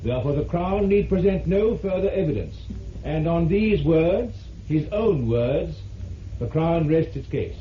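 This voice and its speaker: Higher-pitched formal barrister, old radio. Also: male voice, lively intonation. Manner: formal, measured, oratorical. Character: formal, deliberate male courtroom barrister. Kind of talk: vintage radio drama, formal recitation. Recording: muffled, noisy vintage radio recording.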